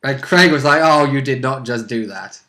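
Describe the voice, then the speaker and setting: A British man with a medium-pitched voice chats casually about a tabletop game he plays in. His manner is wry and irreverent, with a readiness to swear. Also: moderate pace, sharp tone. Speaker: player in a tabletop role-playing game. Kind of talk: casual chat.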